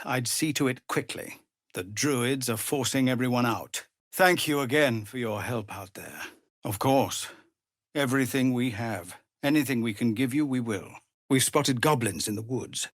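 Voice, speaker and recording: A middle-aged man playing a weary, dutiful tiefling commander of refugees in a video game, speaking formally and earnestly with measured authority. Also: mid-range voice, fairly bright tone, dry recording, clean studio sound, natural voice. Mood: weary, firm. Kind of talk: dramatic video game voice acting.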